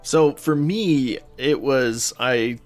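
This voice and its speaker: A man with an average-pitched voice co-hosts a podcast. He chats in a relaxed, informal way. It sounds recorded in a home office, with light music playing underneath. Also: very slow. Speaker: podcast co-host. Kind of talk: casual chat. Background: underlying background music.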